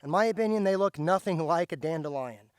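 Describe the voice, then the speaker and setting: A slightly hoarse man, patiently explaining step by step as he teaches plant identification outdoors. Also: on the high side, animated, brisk, no room sound, studio quality. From central Indiana, USA. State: slightly hoarse, recovering from lost voice. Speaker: host, foraging teacher. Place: outdoors by a driveway.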